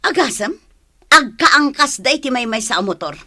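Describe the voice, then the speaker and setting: Scolding mother, sharp high voice. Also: expressive, measured pace, exaggerated voice. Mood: indignant. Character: scolding, disapproving middle-aged mother of a grown daughter. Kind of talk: radio drama acting.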